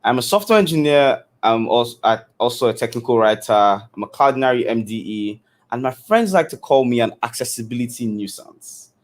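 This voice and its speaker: A man's voice: medium pitch, expressive, brisk. A man from Nigeria giving a casual keynote on a video call, loose and full of fillers. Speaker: keynote speaker. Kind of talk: online conference talk.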